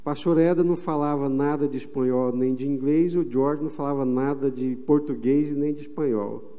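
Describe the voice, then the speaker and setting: A Brazilian man preaching a sermon as pastor, emphatic, stretching some phrases slowly. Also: mid-range voice, fairly even intonation, dark tone. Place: church sanctuary. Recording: long reverb of a church hall.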